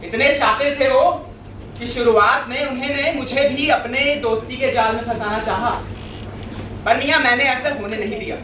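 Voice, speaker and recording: A man's voice: very high voice, fairly even intonation, moderate pace, bright, forceful tone. Male actor as indignant government clerk, theatrical storytelling, emphatic repeats, distant noisy mic, echoey auditorium. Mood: recounting. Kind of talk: theatrical stage monologue.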